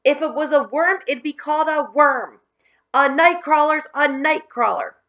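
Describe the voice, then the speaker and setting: A man's voice: very high-pitched, normal speed, dull audio, voice pushed up. A woman voicing a feisty boy in a children's storybook, protesting emphatically. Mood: indignant, arguing. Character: feisty, indignant boy who takes on dares.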